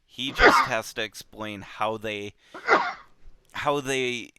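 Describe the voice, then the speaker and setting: A man casually discussing a book as a show host, thinking aloud in halting, repetitive phrases. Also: on the low side, pushed and bright, smooth, no echo.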